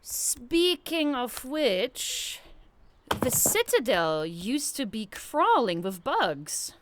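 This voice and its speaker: Expressive, high-pitched scheming young girl cadet by a woman. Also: drawn-out words, noisy background, exaggerated voice. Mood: evasive. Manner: wheedling, expressive. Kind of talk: animated fandub comic voice acting.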